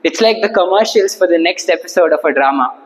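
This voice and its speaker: A young man giving a humorous prepared speech to an audience, with a theatrical, rhetorical delivery and deliberate pauses. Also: high voice, animated. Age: young adult. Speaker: club speaker at Toastmasters. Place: indoor hall, speaking to a seated audience. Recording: reverberant hall.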